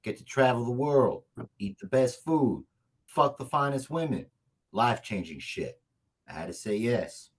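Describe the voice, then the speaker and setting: A man acts out a brash small-time hitman in a comedy table read. His husky voice swings widely in pitch, emotional and foul-mouthed, at a middle register. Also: animated, measured pace, rough recording. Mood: boastful, wistful.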